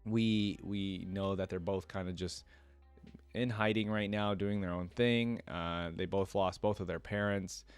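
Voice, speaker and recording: A man's voice: low voice, steady tone, a little slow, clean tone, no echo, faint music behind. A man hosting a reaction video, reading aloud and commenting in an informal, fan-like way.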